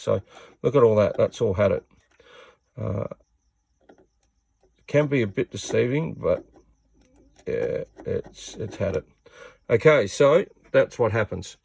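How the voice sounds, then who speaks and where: A man's voice: lowish voice, creaky, a little mellow, no room sound. A man giving a casual hands-on repair tutorial in a plain-spoken, matter-of-fact way.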